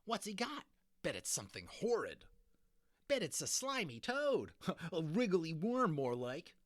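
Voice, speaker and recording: Taunting schoolkid played by a man: high, loud, gleefully mocking, storybook reading. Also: wildly swooping pitch, measured pace, no echo, studio quality, exaggerated voice. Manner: taunting, exaggerated.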